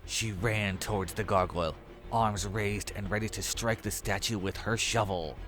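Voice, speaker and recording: A man's voice: on the low side, fairly even intonation, moderate pace. A man narrating a scripted horror story as its storyteller. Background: music.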